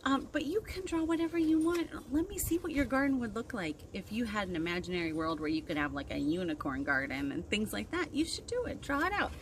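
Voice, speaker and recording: A woman's voice: medium pitch, animated, a little fast, hardly any pauses. A woman in her thirties hosting a children's storytime outdoors, talking casually and encouragingly to young kids as she explains activities. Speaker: children's librarian and storytime host. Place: outdoors on a backyard deck. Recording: outdoor recording with wind and ambient noise.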